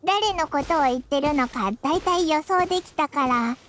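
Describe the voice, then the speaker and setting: A woman's voice: high voice, normal speed, fairly bright tone, a little hiss or hum. A woman voicing a small, childlike fairy companion in a video game, blunt, boyish and easily offended, full of exclamations. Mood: exasperated. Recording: background music under the voice.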